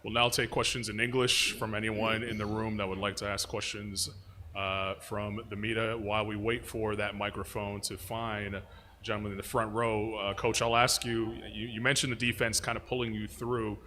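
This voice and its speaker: A man moderating a press conference, steady and businesslike as he passes questions on. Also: lowish voice, moderate pace, in a large hall, slight background noise.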